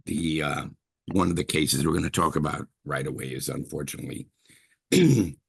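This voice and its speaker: Older male professor from brooklyn, very low gravelly voice, husky, rambling lecture with throat clearing. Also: lively intonation, normal speed. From Brooklyn, New York. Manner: chatty, digressive, frequent throat clearing and fillers. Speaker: linguistics professor giving a lecture. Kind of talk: university guest lecture.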